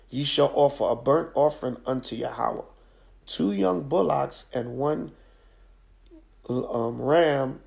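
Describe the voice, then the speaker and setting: A man with a very gravelly, hoarse voice, worn down by a cold, gives an emphatic scripture lesson. He speaks at a middle pitch into a phone propped on a stand at home, checking in with his listeners again and again. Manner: emphatic, preacherly, with many "all right?" checks. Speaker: teacher of a religious group. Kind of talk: live-streamed scripture lesson. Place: home at night.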